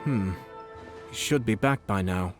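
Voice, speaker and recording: A man voicing a calm, shrewd young nobleman in a video game who speaks in a measured, analytical way. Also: fairly low pitch, lightly acted. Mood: composed. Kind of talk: game voice acting. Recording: game audio over loud background music.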